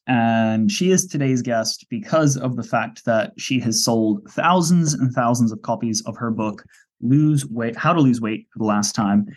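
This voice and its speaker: A man hosting a podcast interview, introducing his guest in an upbeat way with a few halting restarts. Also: mid-range voice, darkish tone. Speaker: podcast host.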